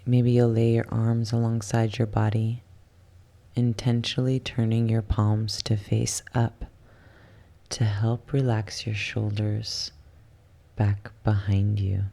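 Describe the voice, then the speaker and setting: A soft, hushed voice guiding a yoga nidra relaxation in ASMR style, soothing and unhurried, slipping at times into a whisper. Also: mid-range voice, flat delivery, vocal fry. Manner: soothing, unhurried, with long pauses. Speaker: guided relaxation instructor.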